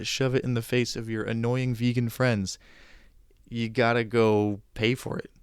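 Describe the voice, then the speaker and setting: A man's voice: medium pitch, clean tone, no echo, studio quality. A man hosting a podcast, giving casual, sarcastic commentary with joking asides. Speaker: podcast host.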